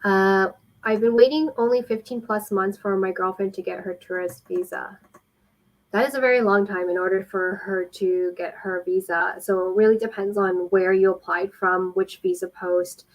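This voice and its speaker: A woman working as an immigration consultant, explaining things helpfully and informally in a live question-and-answer style, with frequent pauses. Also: mid-range voice, steady tone, low-quality recording.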